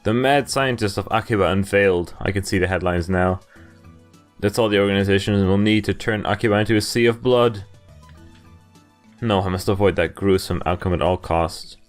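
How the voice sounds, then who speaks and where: Male playthrough narrator, slightly deep, reading visual novel text with some drama, faint music behind. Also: normal speed. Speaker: video game playthrough host. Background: game music.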